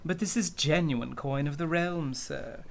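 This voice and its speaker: An actor playing a courteous, cunning older criminal mastermind in an old-time radio drama, with florid, formal speech. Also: male voice, a little high, measured pace, creaky. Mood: suavely polite. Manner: florid, formal, elaborately polite.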